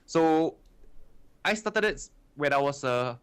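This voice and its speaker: A man's voice: a little high, expressive, takes it slowly, a bit bright, smooth. Young Singaporean man, chatty. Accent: Singaporean English. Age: 20s. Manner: chatty, frequent "you know" and "right" tags. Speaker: trading educator, guest. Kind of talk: casual interview answers.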